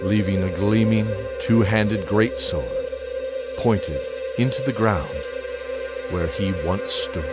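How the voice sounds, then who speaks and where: Low-voiced male fantasy narrator. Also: slow pace, over loud music. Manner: dramatic storytelling. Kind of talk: scripted dramatic fantasy narration.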